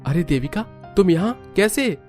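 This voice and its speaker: Man as protective young brother, cartoon story, higher-pitched male tone, considerate, reassuring, music behind. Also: animated, normal speed. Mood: surprised. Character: kind, protective young brother, surprised and modest. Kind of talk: Hindi cartoon story acting.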